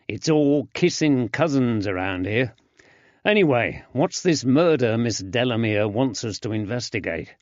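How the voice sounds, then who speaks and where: A man's voice: mid-range voice, lively intonation, unhurried, dry recording, close to an everyday voice. Male narrator playing a testy grey-browed constable, blunt, raised and grumbling; storybook reading, dry. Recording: dull lo-fi, dry.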